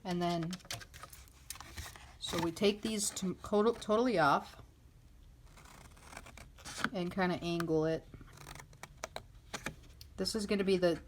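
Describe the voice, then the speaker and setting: A woman with a slightly low voice talks very slowly through a hands-on craft tutorial. She is informal and thinks aloud as she goes. The recording is plainly noisy, made at a table indoors. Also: steady tone. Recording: clearly noisy home recording with clicks.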